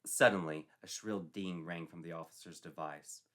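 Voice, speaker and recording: Forceful male narrator, theatrical delivery. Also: low-pitched, steady tone, normal speed. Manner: theatrical, mock-serious. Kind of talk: dramatic scripted story narration.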